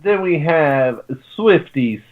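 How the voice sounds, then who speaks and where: A man's voice: fairly high pitch, animated, slow pace, phone-quality sound, a crowd in the background. A man, the show's producer, bantering on a casual radio chat with joking, mock-indignant remarks. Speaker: show producer.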